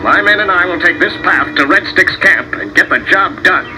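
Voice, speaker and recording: A blustering, stubborn army major barks his indignation in a raised, high voice that swings widely in pitch, as a man puts him on. Loud music plays underneath, as in a storybook recording. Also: lively intonation, moderate pace, poor audio. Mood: scornful. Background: loud background music.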